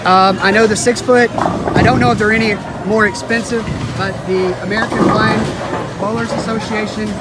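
A man vlog host casually explaining things at a bowling alley, relaxed and halting with fillers, filmed on a handheld phone. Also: sits high. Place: busy indoor bowling alley. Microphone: handheld phone camera. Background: loud bowling alley crowd and noise.

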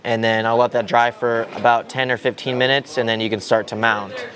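A man's voice: mid-range voice, little pitch movement, fairly quick. A young man casually demonstrating a hands-on task, with lots of fillers and restarts as he explains step by step. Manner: loose, filler-heavy explaining with many restarts. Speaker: RC racer demonstrating tire prep. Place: large indoor hall with people at tables. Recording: voice over crowd chatter in a big hall. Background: crowd chatter in the hall.

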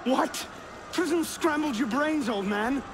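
An actor playing an earnest, defensive young fugitive in a game cutscene, urgent and emotional. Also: male voice, very high voice, expressive, unhurried, background noise. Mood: alarmed. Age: young adult. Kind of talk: video game cutscene acting.

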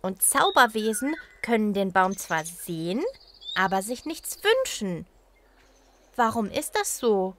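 A woman's voice: mid-range voice, very animated, takes it slowly. A woman voicing a cheerful, friendly little female cloud in a children's audio drama, talking with wonder and enthusiasm.

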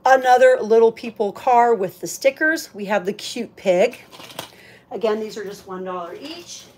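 A woman's voice: mid-range voice, normal speed, a little mellow, a little hiss or hum. A woman hosting a live auction in casual, chatty talk, thinking aloud as she handles items. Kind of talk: casual livestream sales chat.

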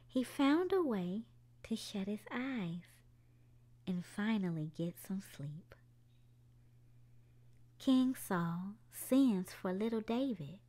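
A woman's voice: mid-range voice, warm tone. A woman hosting a children's storytime, reading a picture book aloud expressively to young children, with music behind her.

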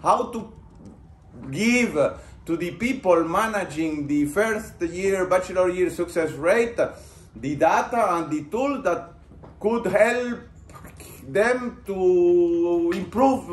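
A man's voice: high voice, slow. A man lecturing a university class, posing questions to the students and building on their answers. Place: lecture room. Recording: roomy lecture-room echo.

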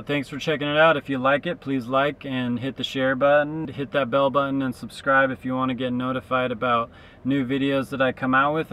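A man's voice: medium pitch, fairly bright tone, clean tone, rough recording. A man in his thirties, a traveling street musician, thinking aloud in a loose, reflective vlog monologue from inside his car to a dashboard camera. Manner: reflective, musing, loose with fillers.

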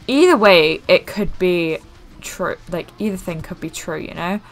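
A young woman hosting a gaming channel, chatting casually while she plays, rambling with many fillers in what she calls a monotone way. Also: lowish voice, unhurried. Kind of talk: casual gameplay commentary. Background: faint game music.

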